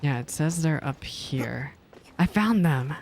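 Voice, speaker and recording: A woman's voice: low voice, expressive, slow pace, darkish tone, a little hiss or hum. A woman chatting casually and playfully as she reacts to a video game she is playing. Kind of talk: casual gameplay reaction commentary.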